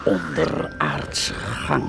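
An actor playing a weak, dying bishop in a radio drama, gasping out halting, broken phrases. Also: male voice, extremely low pitch, sing-song, gravelly, very noisy, heavily acted. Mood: feeble, labored. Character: dying old bishop, feeble but cunning.

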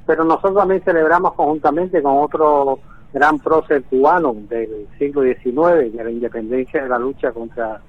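A man in his sixties, born in Venezuela, speaking by phone from Havana in a measured way with frequent hesitations. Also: medium pitch, breathy edge, dry recording. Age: 60s. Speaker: guest. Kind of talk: phone interview. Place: in Havana, Cuba. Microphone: telephone. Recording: tinny phone line.

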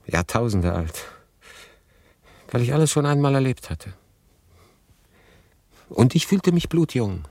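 An actor playing a weary, brooding young refugee, narrating a radio drama with intensity, shifting between hushed and emphatic. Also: male voice, fairly low pitch. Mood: despairing, fervent.

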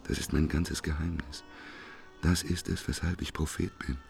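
An actor playing a despairing, bitter prophet with a hoarse voice in a German radio play. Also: male voice, very deep voice, flat delivery, normal speed, darkish tone, faint music behind, exaggerated voice. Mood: weary despair. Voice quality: hoarse, gravelly, rasping. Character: despairing old doomsday prophet, weary and bitter.

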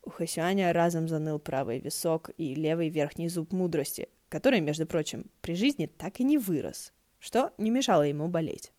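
A woman's voice: sits low, lively intonation, moderate pace, hardly any pauses, warm tone. A woman narrating a story as an audiobook reader. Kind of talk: narrating fiction, acting out dialogue.

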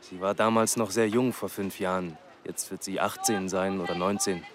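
A man's voice: low-pitched, little pitch movement, normal speed, lightly acted. A man acting in a German radio drama as a reserved, stubborn farmer from the mountains, speaking tersely and earnestly. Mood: guarded, earnest.

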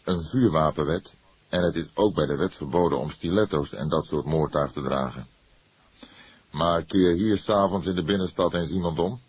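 A man plays an older police detective in an audiobook with a low, raspy voice. He is calm and wry, lecturing without hurry and with a note of disapproval. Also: moderate pace, no room sound. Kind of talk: audiobook character voice.

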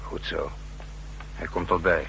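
An actor playing a stern, manipulative secret agent with a deliberate, insistent manner in a 1960s radio drama. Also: male voice, sits low, steady tone, vocal fry, a bit bright, full character voice, low-quality recording. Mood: calm, assured. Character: stern, manipulative male secret-service accomplice. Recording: hissy, humming 1960s radio recording.

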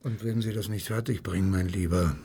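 A man playing a self-important, indignant small-town mayor in a children's audio drama, pompous and emphatic, with a raspy voice. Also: deep voice, steady tone, a little fast, mellow, heavily acted. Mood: outraged, demanding.

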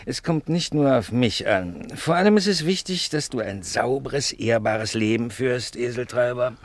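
An actor playing a pompous, wheedling lawyer in a German radio play, lecturing with oratorical emphasis. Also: male voice, medium pitch, normal speed, close to an everyday voice. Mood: stern, lecturing. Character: pompous, wheedling male lawyer, stern moralizer.

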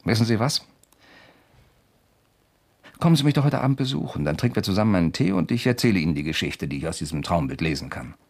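An actor playing a persistent, slyly courteous investigator in a German radio crime drama, questioning insistently and deliberately. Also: male voice, low voice, expressive, darkish tone. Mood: mysterious, coaxing. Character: persistent amateur investigator, male, probing with sly courtesy.

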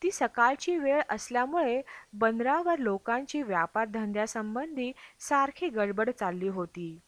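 A woman narrates a travel memoir as an audiobook reader. Her voice sits somewhat high in pitch. The recording is dry, with very little room sound around her. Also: animated, measured pace, dry recording.

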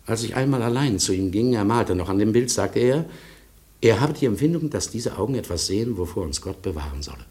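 An actor in a German radio play playing an older, ailing lawyer, a melancholy storyteller who speaks formally and deliberately, often letting his voice sink. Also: fairly low pitch, lively intonation, a little mellow, natural voice. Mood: brooding, haunted. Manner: deliberate, formal, pauses and drops to near whisper.

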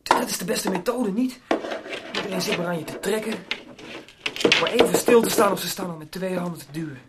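A man's voice: high voice, a little fast, natural voice. Een man die in een hoorspel een koppige, piekerende, eenvoudige klusjesman speelt, verdedigend en omstandig pratend. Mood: brooding, aggrieved. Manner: rambling, defensive. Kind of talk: Dutch radio play acting. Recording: very noisy old radio recording.

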